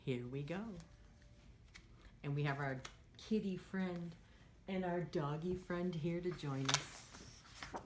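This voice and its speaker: A woman hosting children's storytime, reading a picture book aloud in an expressive, sing-song manner and talking directly to the young listeners. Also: on the low side, slow pace, pauses often, noisy background.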